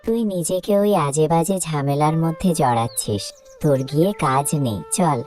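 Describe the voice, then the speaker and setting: A woman's voice: deep voice, animated, normal speed, a little mellow, faint music behind. A woman voicing a caring, cautious older ghost mother in a cartoon fairy tale. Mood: concerned, coaxing. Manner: motherly, coaxing.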